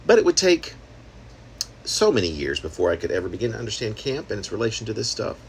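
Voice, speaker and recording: A man's voice: on the low side, very animated, fast, slight background noise. A man reading his own experimental prose poem aloud in a conversational, wry manner, slipping in asides and rhetorical questions. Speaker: poet reading own work.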